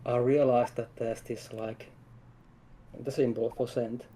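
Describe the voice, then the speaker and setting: A man's voice: medium pitch, flat delivery, slow pace, warm tone. A man speaking casually as a guest game developer, explaining things in an informal chat. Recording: some background noise.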